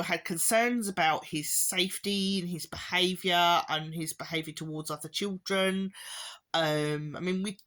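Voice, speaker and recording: A woman with a hoarse, fading voice from a chesty cough, giving a rambling, casual update to her viewers with wry little laughs. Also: lowish voice, a bit bright. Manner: rambling, with many ums and restarts, occasional wry laughs. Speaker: parent vlogger. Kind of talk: casual spoken update to camera.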